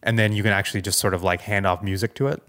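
A man's voice: on the low side, steady tone, rapid-fire, a bit bright. A man co-hosting a casual tech podcast, thinking aloud in a tentative, conversational way. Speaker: podcast co-host.

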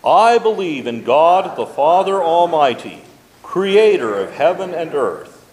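A man's voice: fairly high pitch, big pitch swings, slow pace, darkish tone. A man, a pastor, leading a formal liturgical recitation in a church with long reverb. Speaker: pastor, worship leader. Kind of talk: leading congregational recitation of a creed. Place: church sanctuary.

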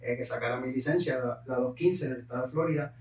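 A man from Puerto Rico with a Puerto Rican accent, a podcast guest telling his life story in an unhurried way, slipping English words into his Spanish. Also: mid-range voice, darkish tone, roomy sound, distant mic. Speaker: guest entrepreneur. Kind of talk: conversational storytelling.

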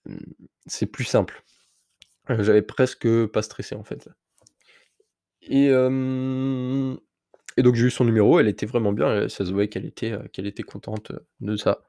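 A man's voice: medium pitch, steady tone, moderate pace, vocal fry, pauses often, dark tone, no room sound, studio quality. A man with a slight cold, hosting his own podcast and telling a personal story in a candid, rambling way straight to the listener. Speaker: podcast host. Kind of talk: casual solo podcast monologue.

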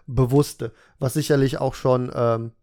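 A man's voice: mid-range voice, fairly even intonation, a little slow, a little mellow. A man in his thirties hosting a casual podcast interview, asking questions and explaining things at length in a chatty way. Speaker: podcast host.

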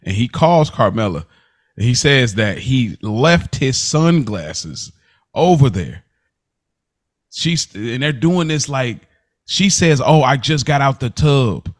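A man's voice: mid-range voice, animated, takes it slowly. A man hosting a podcast, recapping television in casual, slangy talk. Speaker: podcast host.

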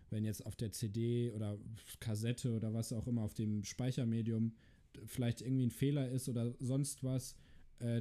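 Man asking rambling questions on a podcast, very dark tone. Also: mid-range voice. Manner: rambling, hesitant with many fillers. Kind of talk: casual chat.

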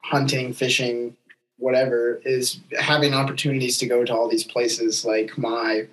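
A man's voice: medium pitch, level tone, moderate pace, fairly bright tone, rough recording. A young adult man hosting a casual podcast chat, telling outdoor anecdotes in an informal, enthusiastic way.

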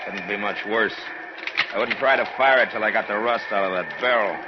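An American actor playing a steady, laconic frontier marshal in a radio western, terse and authoritative. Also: male voice, on the low side, fairly quick, heavy background noise, natural voice. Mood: probing, stern. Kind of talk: 1960s radio drama acting with first-person narration. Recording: muffled vintage radio recording with music.

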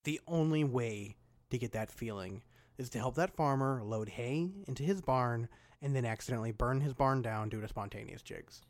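A man's voice: mid-range voice, normal speed, dry recording, natural voice. A man playing a carefree, reckless, dim adventurer in a fantasy comedy audio drama, rambling in a casual slacker way. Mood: carefree persuading.

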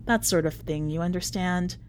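A woman voicing a polite, chatty, quietly unhinged prisoner writing a letter, in a prim manner, as a dramatic story reading. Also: on the low side, moderate pace, slight background noise, lightly acted. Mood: polite, confiding. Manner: prim, ornate, politely understated phrasing.

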